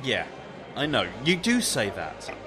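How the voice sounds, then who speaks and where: A man acting in a radio play as a sceptical, reasonable friend, hesitant and gently challenging. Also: mid-range voice, wildly swooping pitch, measured pace, crowd noise. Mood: gently reproachful. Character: sceptical, reasonable male friend of young shop girl.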